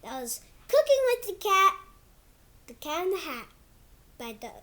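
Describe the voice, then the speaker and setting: A child hosting a read-aloud of a picture book, reading haltingly like a beginner and drawing words out. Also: high voice, pushed and bright, a little hiss or hum.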